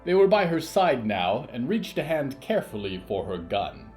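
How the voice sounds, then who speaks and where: A man narrating scripted literary horror fiction in a measured, theatrical way with dramatic pauses. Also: mid-range voice, very animated, a little mellow, soft background music.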